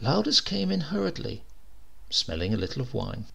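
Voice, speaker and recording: Male audiobook reader, gravelly, animated delivery of a comic tale. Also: medium pitch, normal speed, highs cut off. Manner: lively, expressive reading with varied character voices. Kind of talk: scripted narration of a comic short story.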